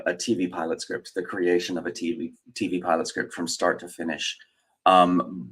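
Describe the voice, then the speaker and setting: Rambling man hosting a livestream. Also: low voice. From Australia. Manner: rambling, hesitant, many fillers and restarts. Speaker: host. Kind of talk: casual livestream chat, reading viewer comments.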